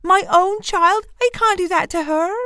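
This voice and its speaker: A woman's voice: very high voice, normal speed, sharp tone, dry recording, studio quality, voice pushed up. Lady doing a scared storybook dad, a miller, pleading in a raised voice, full of guilt. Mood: horrified. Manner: pleading, emotional. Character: frightened, pleading miller, a guilt-stricken father.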